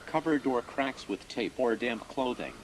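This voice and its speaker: A man playing a stern government alert announcer, reading a scripted emergency message in a formal, deliberate way. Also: mid-range voice, lively intonation, close to an everyday voice. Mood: stern, official. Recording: noisy broadcast-style recording.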